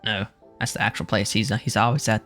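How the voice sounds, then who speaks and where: A man's voice: lowish voice, little pitch movement, fast, fairly bright tone, faint music behind. A young man giving casual, slangy commentary as he streams a video game. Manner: slangy, informal.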